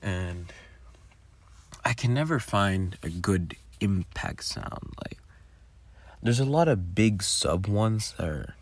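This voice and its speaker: Deep-voiced man, slow and creaky. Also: animated, no room sound. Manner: rambling, informal. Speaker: audio roleplay creator. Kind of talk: casual behind-the-scenes commentary.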